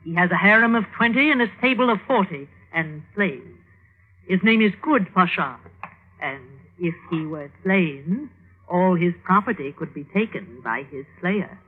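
A woman playing a ruthless, scheming mother in a classic radio drama, speaking with deliberate, commanding insinuation. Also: on the low side, lively intonation, husky, phone-quality sound. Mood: coldly inciting. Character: ruthless, scheming mother goading her son to kill. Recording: band-limited old radio recording.

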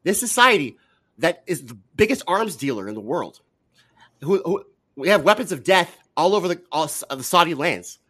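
A man argues politics as a talk show guest in a fairly high, sharp-edged voice that swings widely in pitch. He pushes back in a combative way, stacking one challenging question on another. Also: big pitch swings, normal speed, slightly rough. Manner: argumentative, challenging with rhetorical questions. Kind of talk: heated political debate.

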